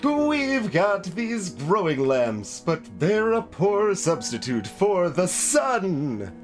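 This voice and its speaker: A man voicing a gentle talking plant, a botanist in a game, with drawn-out, wistful musing. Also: high-pitched, sing-song, soft background music, pitched above the performer's own voice, full character voice. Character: gentle plant expert, a talking potted plant in shirt.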